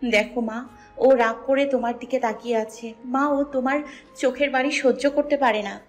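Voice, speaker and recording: A woman playing a devoted, soft-hearted young daughter in an audio story drama. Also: high voice, fairly even intonation, normal speed, faint music behind, natural voice. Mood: tearful, pleading. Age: young adult.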